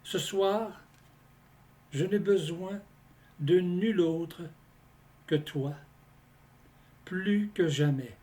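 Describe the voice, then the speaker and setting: A man reciting his poems in a scripted, deliberate delivery, phrase by phrase with pauses between them. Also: fairly high pitch, mellow. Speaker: poet reading own poems. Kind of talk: slow scripted poetry recitation.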